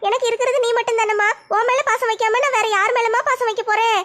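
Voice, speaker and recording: Woman doing a teenage daughter, very high voice, soft and pleading. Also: steady tone, quick pace. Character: teenage daughter, modest and loving.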